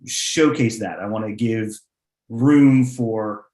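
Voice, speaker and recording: A man's voice: lowish voice, slow pace, dark tone. Man on a panel, very echoey room. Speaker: playwright on a panel. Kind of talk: conversational panel discussion.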